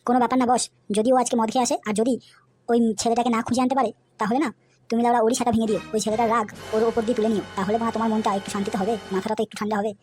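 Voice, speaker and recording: A woman voicing an eager, sycophantic young henchman in a comedy cartoon, crude and flattering toward his boss. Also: a little high, very fast, soft background music, close to an everyday voice. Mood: fawning.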